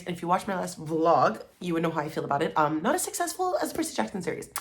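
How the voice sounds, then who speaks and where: A woman hosting a book vlog, talking to viewers in an enthusiastic, informal and slangy way. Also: mid-range voice. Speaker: book vlogger, channel host. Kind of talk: chatty scripted-and-casual book vlog to camera.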